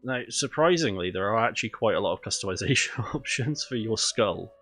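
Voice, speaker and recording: A man's voice: fairly low pitch, animated, fairly quick, a bit bright, faint music behind. A man with a cold and a stuffy, nasal voice, chatting casually through a video game while streaming, thinking aloud with lots of ums.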